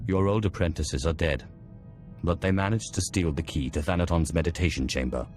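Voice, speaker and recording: A man playing a commanding, vengeful dark lord in a video game cutscene, cold and imperious. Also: low-pitched, brisk, faint music behind, close to an everyday voice. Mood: cold, dry. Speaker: Sith Lord protagonist.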